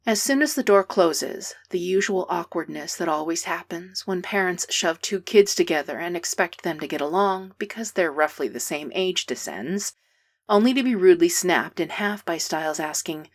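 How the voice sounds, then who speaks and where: A woman narrating a fan fiction story aloud in an even, measured way. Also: lowish voice. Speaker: podfic reader.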